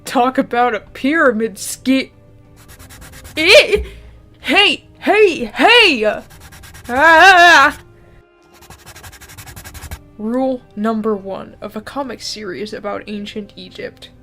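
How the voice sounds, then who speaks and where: A woman performing a comedic dub of a webcomic with a brisk, playful delivery and sudden loud outbursts. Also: sits high, very animated, faint music behind. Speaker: fan dubber voicing a webcomic. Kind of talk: comedic comic dub, quick skits.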